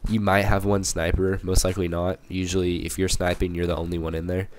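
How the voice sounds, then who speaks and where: A young man with a cold, hoarse and a little raspy, rambling through casual gameplay commentary with many fillers and restarts. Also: sits low, flat delivery, a little fast, a little hiss or hum. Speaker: gaming channel commentator.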